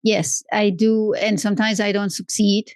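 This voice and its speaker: A woman's voice: medium pitch, steady tone, no room sound. Woman guest rambling thru her story, lots of restarts, dry close sound. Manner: halting, many restarts, curious and chatty. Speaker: podcast guest. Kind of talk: casual interview chat.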